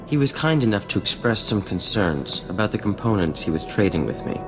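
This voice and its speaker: Florid, swooping scientist-inventor. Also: male voice, fairly low pitch, sing-song, a little fast. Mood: pleased, courteous. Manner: florid, formal, theatrical. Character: vain, refined male scientist-inventor, eager and anxious. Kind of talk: video game cutscene acting. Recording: long reverb, music underneath.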